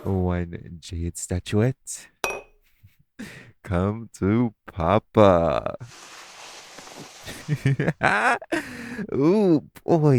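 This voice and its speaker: A man's voice: fairly low pitch, gravelly, a little mellow, a little hiss or hum, exaggerated voice. A man playing a flirty, cocky supervillain master thief in an audio roleplay, teasing and theatrical. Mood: adoring, playful. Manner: teasing, theatrical, purring, chuckling. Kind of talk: audio roleplay acting, intimate monologue to listener.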